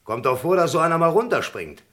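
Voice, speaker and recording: An actor playing a talkative, plain-spoken Paris taxi driver and insistent witness in a 1960s radio crime play. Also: male voice, a little high, very animated, quick pace, a bit bright. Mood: insistent, explaining.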